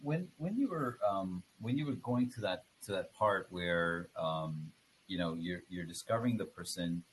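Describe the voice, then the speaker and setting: A man's voice: deep voice, expressive, normal speed, a little hiss or hum, low-quality recording. A man interviewing a guest in casual chat, asking questions with playful teasing.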